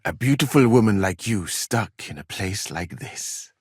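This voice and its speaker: An actor playing a courteous, learned physician from Mali in a medieval video game, speaking in formal, measured phrases with gentle gallantry. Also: male voice, lowish voice, animated, breathy edge, no echo, clean studio sound, heavily acted. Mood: gentle, admiring.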